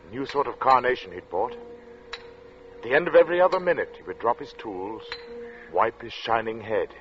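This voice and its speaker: A man acting as an uneasy, introspective gentleman who narrates an eerie tale in 1940s radio suspense style, measured and literate. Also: medium pitch, animated, a little hiss or hum, close to an everyday voice. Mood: uneasy, brooding. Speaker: narrator and protagonist. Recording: muffled old radio drama recording.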